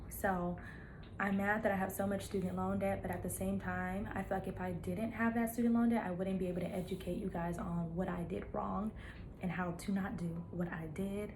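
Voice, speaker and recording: A woman in her thirties talks straight to her viewers in an easy, chatty way, cheering them on with playful asides and laughs between thoughts. Her voice sits in a middle register. A faint hum from the room is behind her. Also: mid-range voice. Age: 30s. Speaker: nurse practitioner vlogger. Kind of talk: casual chat to camera.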